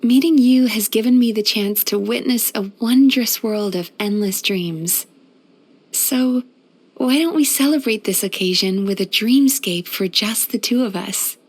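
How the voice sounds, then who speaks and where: A woman playing a gentle, caring female psychologist in a video game, speaking in a soothing, thoughtful, measured and softly playful manner. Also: on the high side. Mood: grateful, earnest. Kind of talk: scripted video game character voice lines.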